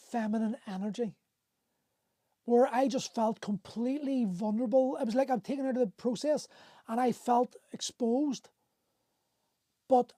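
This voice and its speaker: Man, middle-aged, livestream host, emotional storytelling, frequent swearing, halting restarts, warm mellow voice. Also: very high-pitched, no echo. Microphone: phone on a livestream.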